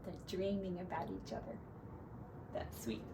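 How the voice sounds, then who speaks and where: A woman teacher reading a picture book to young children expressively, with gentle, simple asides, recorded on a device set back from her. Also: fairly low pitch, animated, normal speed. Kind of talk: picture-book read-aloud with chatty asides. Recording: distant mic with faint background noise.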